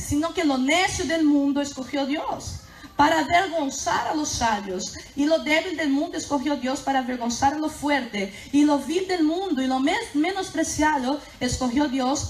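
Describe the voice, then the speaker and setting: A woman's voice: high voice, a little hiss or hum. A woman whose first language is Portuguese, preaching a sermon with emphatic bursts of fervor. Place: church hall. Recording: echoey church hall.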